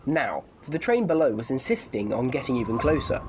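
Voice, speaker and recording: A man's voice: mid-range voice, big pitch swings, brisk, slight background noise. A man narrating a scripted story for an audio drama in a wry, playful storytelling manner.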